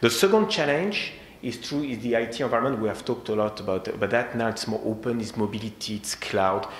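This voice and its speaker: A man with a strong French accent giving a conference presentation, halting, with many fillers and repeated words. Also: fairly low pitch. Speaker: conference speaker. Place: conference hall. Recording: echoey hall with faint noise.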